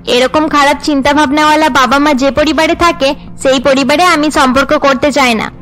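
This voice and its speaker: A principled middle-aged cartoon mother of a groom, voiced by a woman. She is firm and reproving, with a high voice. Faint music plays underneath. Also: fairly even intonation, normal speed, fairly bright tone. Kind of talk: cartoon voice acting.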